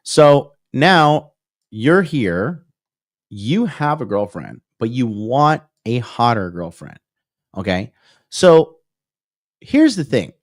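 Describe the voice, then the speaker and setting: A man's voice: mid-range voice, very animated, slow pace, pauses often, dry recording, clean studio sound. A male dating coach in his thirties, giving a whiteboard lecture on a livestream and peppering his points with "okay?" and "right?". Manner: reads out and answers viewer comments.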